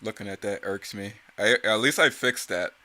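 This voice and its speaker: A man's voice: fairly low pitch, moderate pace, loud music behind the voice. A man giving casual, off-the-cuff creator commentary in a rambling, self-correcting way. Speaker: creator giving commentary on own motion comic series.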